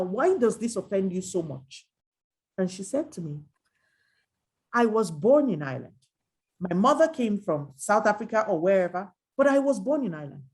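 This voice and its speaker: A middle-aged woman from Nigeria, an adult educator, speaking earnestly and emphatically in a reflective dialogue, often quoting others. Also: mid-range voice. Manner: earnest, emphatic, quotes others in lively asides.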